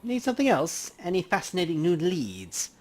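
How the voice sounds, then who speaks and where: A man voicing a chatty, folksy male coroner from a video game in an animated, casual manner. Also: high-pitched, moderate pace, pitched above the performer's own voice, full character voice. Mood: chatty, upbeat. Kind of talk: acting out video game dialogue.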